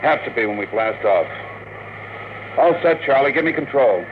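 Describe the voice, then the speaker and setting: Blunt, protective rocket pilot played by a man, American, medium-pitched, commanding, classic radio drama on a noisy old recording. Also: expressive, moderate pace, narrow, tinny audio, close to an everyday voice. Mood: brisk, commanding. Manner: clipped, slangy, commanding.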